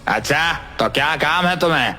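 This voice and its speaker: A man's voice: fairly high pitch, animated, moderate pace, sharp tone. A man playing an arrogant cartoon factory manager, curt, scolding and dismissive. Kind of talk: animated cartoon character acting. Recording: music bed under the voice.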